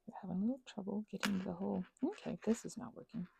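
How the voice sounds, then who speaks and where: A woman's voice: mid-range voice, fast, gravelly, darkish tone, breathy edge. A woman chatting casually while she does crafts, whimsically interrupting herself and talking to herself and to objects. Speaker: craft and ASMR video creator.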